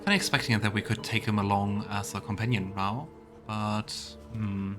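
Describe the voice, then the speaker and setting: A man's voice: fairly low pitch, steady tone, moderate pace, over music. A man hosting a video game let's play with casual, hesitant, musing commentary. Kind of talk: casual gaming commentary and voiced dialogue reading.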